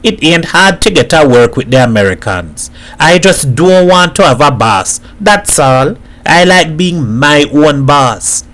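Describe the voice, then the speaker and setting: A streetwise Trinidadian character from a storybook , as a male narrator plays him. He talks bluntly and teasingly in island idiom. The voice is pitched somewhat high for a man. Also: expressive, a little slow, hardly any pauses, a bit bright. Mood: grumbling.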